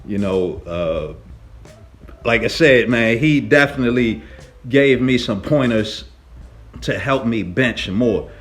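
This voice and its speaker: A man telling a story casually as a lifting vlogger, with an animated, slangy and emphatic way of talking. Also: medium pitch, slow pace, long pauses. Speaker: fitness vlogger, powerlifter.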